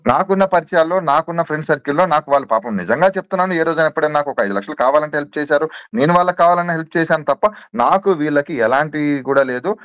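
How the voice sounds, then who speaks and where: A man's voice: fairly high pitch, very fast, fairly bright tone, clean tone, dry recording, dull audio. A man being interviewed on a recorded call, protesting insistently and repeating himself as he defends his position.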